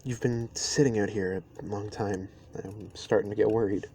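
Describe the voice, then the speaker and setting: A man playing a caring, easygoing best friend in an intimate roleplay audio, speaking softly and haltingly to the listener. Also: lowish voice, natural voice. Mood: gently concerned. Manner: halting, unhurried, gentle. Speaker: amateur audio roleplay performer. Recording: steady ambient noise behind voice. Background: campfire ambience.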